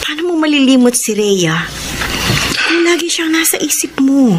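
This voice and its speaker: High, exasperated Filipino confidante. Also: female voice, animated, moderate pace, background noise. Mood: reproachful advising. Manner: reproachful, pointed. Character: exasperated, jealous female confidante of a heartbroken man. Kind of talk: Filipino radio drama acting.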